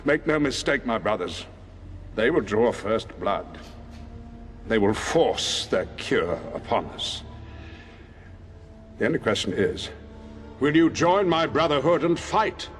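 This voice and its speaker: An actor playing a grave, fervent rebel leader giving an ominous rallying speech in a reverberant space. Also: male voice, mid-range voice, very animated, slow, long pauses. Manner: ominous oratory. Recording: long hall reverb, music behind.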